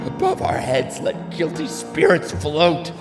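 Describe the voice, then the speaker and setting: A grave, anguished father from classical tragedy played by a man, declaiming slowly and grandly in halting verse. The voice rings through a long hall reverb with music clearly playing underneath. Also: fairly high pitch, very animated. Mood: despairing, imploring. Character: anguished ailing father, estranged brother of a tyrant king.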